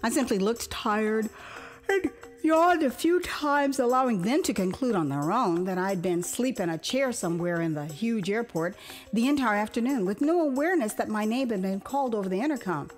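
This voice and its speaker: Expressive American woman storyteller over music. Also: a little high, normal speed. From Houston, Texas, United States. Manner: expressive and theatrical, with amused asides. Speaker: author reading her own memoir. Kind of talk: dramatized first-person storytelling narration.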